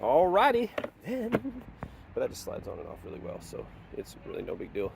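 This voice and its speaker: A man's voice: high voice, huge pitch swings, normal speed, creaky, noisy background. A middle-aged man and father, chatting upbeat as he explains a hands-on DIY project. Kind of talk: casual hands-on project narration.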